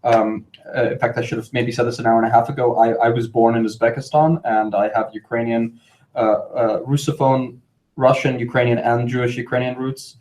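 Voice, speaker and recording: Halting man from New York, informal panel speaker. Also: medium pitch, flat delivery, a little mellow. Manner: halting, repeats words, digressive.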